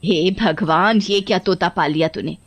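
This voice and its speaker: A woman voicing a harsh older mother-in-law, sharp, nagging and contemptuous, in a cartoon story. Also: fairly low pitch, normal speed. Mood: exasperated.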